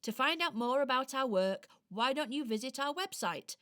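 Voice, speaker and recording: A woman narrating a scripted children's radio play expressively, with dramatic pauses. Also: high-pitched, expressive, a bit bright.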